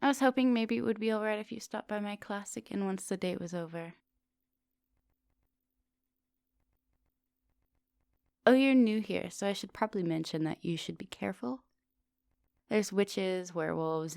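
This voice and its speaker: A woman playing a coaxing, soft-dominant vampire professor in an intimate ASMR roleplay, speaking with polite, formal phrasing. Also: medium pitch, brisk, creaky, clean tone, no echo, close to an everyday voice. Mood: friendly, coaxing. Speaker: teacher.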